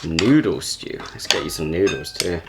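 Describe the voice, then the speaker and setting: A man's voice: sits low, lively intonation, moderate pace, heavy background noise. Chill young guy in his kitchen, slangy and jokey, just chatting food. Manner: laid-back, slangy, jokey. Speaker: home cook. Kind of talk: casual cooking chat.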